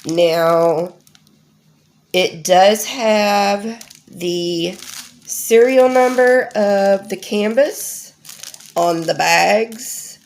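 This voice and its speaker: Stuffy-nosed woman, slow and chatty. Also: mid-range voice, darkish tone, slight background noise. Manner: chatty, homey, with many ums. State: nasal from a head cold. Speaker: crafting channel host. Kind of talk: casual unboxing vlog.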